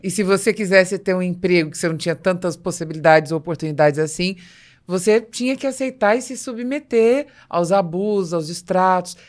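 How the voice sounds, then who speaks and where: Woman, Brazilian accent, slightly low voice, conversational podcast host, assertive and explanatory. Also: fairly quick. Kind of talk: conversational interviewing.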